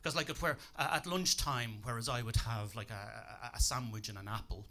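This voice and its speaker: A man's voice: mid-range voice, brisk. A young man with a Dublin accent doing a stand-up set on a comedy club stage, talking dry and self-deprecating into a handheld mic. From Ireland. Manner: dry, deadpan delivery with self-deprecating asides.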